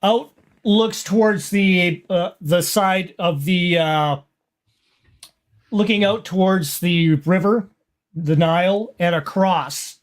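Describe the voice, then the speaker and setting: Slow-paced game master narrating. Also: mid-range voice. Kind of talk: narrating a tabletop roleplaying game.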